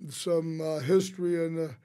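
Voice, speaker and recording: A man tells casual, rambling anecdotes as a podcast guest, quoting people in an animated way. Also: a little high, moderate pace. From St. Louis, United States. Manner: rambling, animated storyteller who quotes others. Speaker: interview guest, restaurateur. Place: small podcast studio. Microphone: close studio mic on a boom arm.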